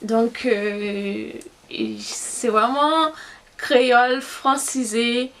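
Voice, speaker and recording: A young Haitian woman with a Haitian Creole accent talks to her camera in an easygoing vlog. She speaks at an unhurried pace in a fairly high voice. She thinks aloud as she answers and is easily amused. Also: fairly even intonation, fairly bright tone. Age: young adult. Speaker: YouTuber. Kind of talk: casual vlog, answering tag questions.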